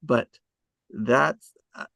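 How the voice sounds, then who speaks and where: A man's voice: very slow, fairly bright tone, no room sound. Casual man thinking aloud, very dry sound. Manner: hesitant, thinking aloud, conversational. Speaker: host of an online script table read. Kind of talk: casual rambling discussion of screenwriting.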